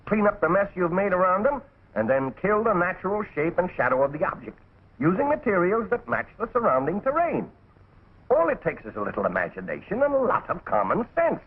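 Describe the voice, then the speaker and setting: An American actor playing a small, brisk, cheerful animal supervisor in a 1940s military training cartoon, calling out folksy instructions. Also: male voice, sits high, big pitch swings, slightly rough, exaggerated voice. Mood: instructive. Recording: tinny 1940s film soundtrack with music.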